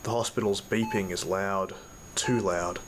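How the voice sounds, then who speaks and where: An actor playing a badly injured, weary man, narrating his ordeal in halting fragments over heavy noise in an audio drama. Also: sits low, steady tone. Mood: sorrowful, tender. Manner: halting, broken into short fragments. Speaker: narrator and lead character. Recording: loud noise behind the voice.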